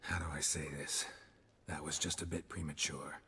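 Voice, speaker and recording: An actor playing a stoic, world-weary monster hunter in a video game, terse and deadpan. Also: male voice, a bass voice, moderate pace, a little hiss or hum. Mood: terse, subdued.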